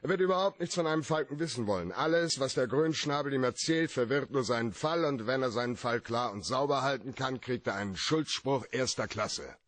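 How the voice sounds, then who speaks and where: A man's voice: mid-range voice, expressive, fairly quick, hardly any pauses, a bit bright, no echo. A man playing a cool, cynical private detective in a German radio play, brash, mocking and self-assured. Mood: persuasive, assured.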